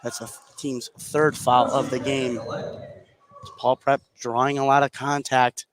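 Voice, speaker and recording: A man's voice: medium pitch, little pitch movement, a little slow. A man doing live basketball play-by-play on the radio, narrating the action in short running phrases. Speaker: play-by-play commentator. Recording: noisy live gym broadcast.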